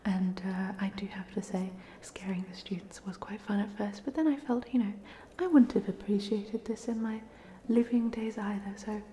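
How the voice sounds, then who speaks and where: A woman's voice: medium pitch, normal speed, few pauses, a little hiss or hum, natural voice. A woman playing a polite, chatty ghost of a drowned student in a whispered, hesitant ASMR roleplay. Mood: gentle, friendly. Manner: whispered, hesitant, gently rambling.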